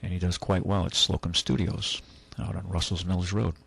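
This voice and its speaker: Gravelly older male radio host. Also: sits low, flat delivery, normal speed, fairly bright tone, dry recording. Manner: rambling, folksy, many restarts. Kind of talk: call-in radio talk. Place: radio studio.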